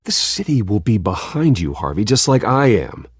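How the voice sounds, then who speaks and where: A man playing a brooding, controlled wealthy vigilante in a video game, with a gravelly, husky voice and a terse, probing manner. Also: lowish voice, very animated, normal speed, no room sound, clean studio sound. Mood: determined.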